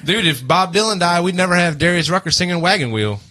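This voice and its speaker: A man's voice: high-pitched, expressive, fast talker, sharp tone. A man co-hosting a podcast in casual, slangy banter, joking around as he talks. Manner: jokey, slangy, breaks into snatches of song. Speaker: podcast co-host who is also in a band.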